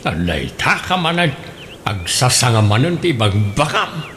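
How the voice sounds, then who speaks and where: A man's voice: mid-range voice, big pitch swings, moderate pace, a bit bright, a little hiss or hum. Man as a mild Filipino village husband. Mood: mildly reproving. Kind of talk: comedic radio drama acting.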